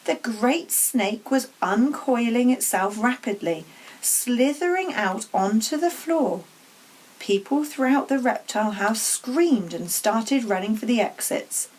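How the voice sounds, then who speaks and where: A woman's voice: on the high side, lively intonation, slow pace, poor audio. A woman narrating a children's storybook aloud as a teacher would to pupils.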